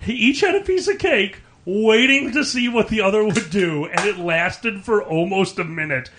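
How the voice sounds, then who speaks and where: High-voiced laughing man telling stories. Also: animated, normal speed, fairly bright tone, slight background noise. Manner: laughing storyteller, joking. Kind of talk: casual drunk podcast chat.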